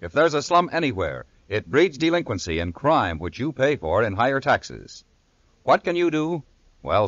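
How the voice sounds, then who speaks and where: A man's voice: on the low side, a bit bright, no room sound. A man with an American accent reading scripted announcements in a formal, emphatic 1950s radio style. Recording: muffled old radio recording.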